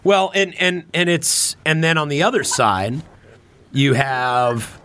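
A man's voice: on the high side, expressive, slow, pushed and bright, a little hiss or hum. A man in casual political discussion on a podcast, repeating and rephrasing as he builds his point.

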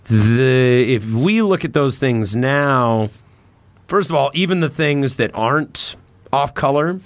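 A man's voice: mid-range voice, animated, takes it slowly, no room sound. A man talking casually on a podcast as a host, opinionated and emphatic in how he makes his points.

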